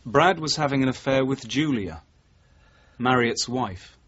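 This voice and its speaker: Husky, dogged British detective sergeant. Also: male voice, medium pitch, expressive, fairly bright tone. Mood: measured, insistent. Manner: terse, measured, accusing. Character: dogged, level-headed male detective sergeant confronting his boss. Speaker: actor in radio drama. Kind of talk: 1980s British radio drama acting. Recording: dull, muffled old radio recording.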